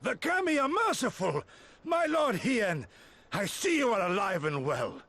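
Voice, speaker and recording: A man's voice: sits high, big pitch swings, a little slow, bright, forceful tone, heavily acted. A man playing a devoted, fervent retainer in a video game, formal and reverent in address. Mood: overjoyed relief. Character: devoted, fervent samurai retainer greeting his lord. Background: faint music.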